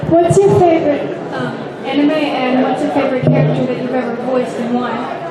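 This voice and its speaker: A woman's voice: high-pitched, steady tone, normal speed. A woman in the audience of a convention panel asking short questions. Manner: brief questions. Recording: echoey hall, far mic, crowd.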